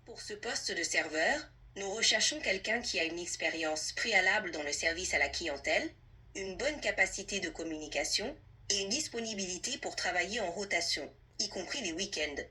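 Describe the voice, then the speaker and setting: Husky, bright synthetic female assistant. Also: mid-range voice, expressive, moderate pace. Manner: polite, fluent, helpful, orderly. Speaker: AI language tutor playing an employer. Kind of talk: conversational assistant role-play.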